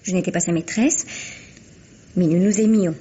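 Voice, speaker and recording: A woman's voice: lowish voice, normal speed, mellow, a little hiss or hum, natural voice. A woman playing a young, self-assured actress in a vintage radio mystery, speaking theatrically with precise, proud insistence. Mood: accusing, firm. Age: young adult. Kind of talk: 1950s French radio mystery acting. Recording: dull, lo-fi vintage radio recording.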